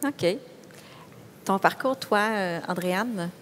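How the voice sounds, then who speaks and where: A woman with a Quebec French accent moderates a panel conversationally. Her medium-pitched voice comes with long hall reverb and faint rumbling noise. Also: slow pace. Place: conference room panel.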